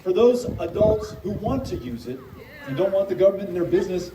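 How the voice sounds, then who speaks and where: A man's voice: high-pitched, animated. Man speaking publicly, distant and echoey. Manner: earnest, halting with repeated words. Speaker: political speaker answering audience questions at a town hall. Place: park pavilion, community meeting. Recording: roomy, distant live-stream sound, loud background noise.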